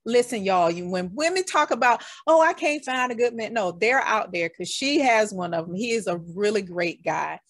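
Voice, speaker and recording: A woman's voice: medium pitch, lively intonation, moderate pace, hardly any pauses, dry recording, studio quality. A woman hosting a podcast interview, talking enthusiastically and casually and addressing her listeners as "y'all". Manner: warm, enthusiastic, talks straight to listeners with "y'all". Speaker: podcast host.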